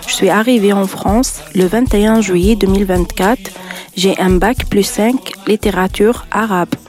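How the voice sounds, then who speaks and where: A woman in her twenties with an Algerian accent. She speaks slowly and hesitantly, in a mellow voice of medium pitch. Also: faint music behind. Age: 20s. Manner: hesitant, halting. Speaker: workshop participant.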